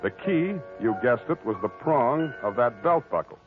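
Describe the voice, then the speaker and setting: An actor playing a wry, weary hard-boiled private detective, narrating and talking in an old-time radio drama. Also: male voice, medium pitch, animated, moderate pace, music in the background, lightly acted. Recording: tinny old radio recording.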